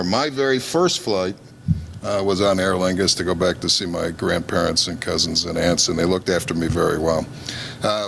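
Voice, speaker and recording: A man's voice: fairly low pitch, creaky, a bit bright, slight background noise. A man with a Chicago accent, an airline executive giving an upbeat, joking promotional speech at a press conference. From Chicago, USA.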